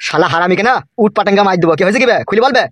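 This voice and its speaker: A man's voice: high voice, very fast, a bit bright, slight background noise. A man voicing a bossy, crude village politician in a comedy cartoon, with coarse, scolding talk. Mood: angry, abusive.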